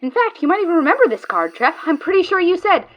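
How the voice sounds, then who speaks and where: Woman doing cocky mischief girl adventurer, high lively voice, taunting, theatrical, audio drama. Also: quick pace, dull audio. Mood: excited, smug. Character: cocky, mischievous female card-playing adventurer, sharp-tongued.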